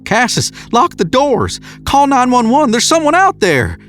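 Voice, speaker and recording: A man's voice: very high-pitched, wildly swooping pitch, a little slow, fairly bright tone, soft background music, voice pushed up, heavily acted. A man voicing a frightened, desperate male novelist in audio horror fiction, urgent and exclaiming. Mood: panicked, screaming.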